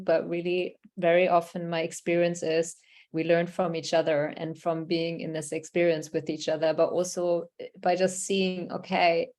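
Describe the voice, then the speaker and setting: A woman's voice: fairly low pitch, a little mellow. A woman from Germany, leading an online workshop in a conversational way and reading out participants' answers from the chat. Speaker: workshop facilitator.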